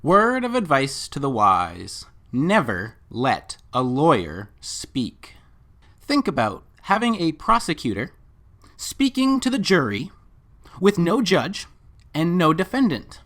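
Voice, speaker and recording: Commentator, animated pitch swings, deliberate phrasing, scripted delivery, rhetorical questions. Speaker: commentator and narrator. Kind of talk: scripted video commentary with document reading.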